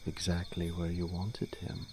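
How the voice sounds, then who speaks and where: A man's voice: low-pitched, monotone, unhurried, background noise. A man playing a teasing young nobleman in a fantasy audio drama, speaking in a formal, archly polite manner. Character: teasing, urbane young nobleman, elder brother in fantasy court.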